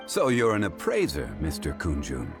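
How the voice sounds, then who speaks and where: A man voicing a composed, learned character in a video game, speaking in a measured, formal, courteous way. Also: a bass voice, wildly swooping pitch, music in the background, full character voice. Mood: calm, formal.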